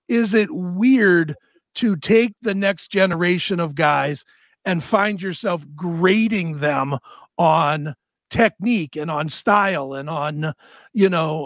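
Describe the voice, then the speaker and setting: A man hosting a casual interview, putting informal, wandering questions with emphatic pauses. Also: high voice, dry recording, studio quality. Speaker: interview host.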